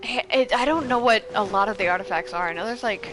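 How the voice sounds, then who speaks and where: A woman's voice: mid-range voice, fast talker, low-quality recording. A young woman chatting casually as she gives gameplay advice, rambling and thinking aloud. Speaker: gaming content creator. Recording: game music behind.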